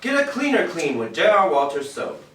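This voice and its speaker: A man's voice: a little high, big pitch swings, normal speed, fairly bright tone. Man playing a showy, swooping radio announcer on stage. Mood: showy, welcoming. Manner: theatrical, grandly presentational. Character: smooth showy male 1940s-style radio show announcer. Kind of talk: old-time radio announcing on a theater stage. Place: indoor theater stage, picked up from afar. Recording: roomy distant stage recording, faint noise.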